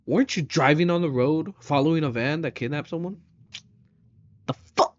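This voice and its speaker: A man's voice: on the high side, normal speed. A man giving casual, slangy reaction commentary, incredulous and prone to swearing. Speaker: reaction video host. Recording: dry, slightly dull microphone sound.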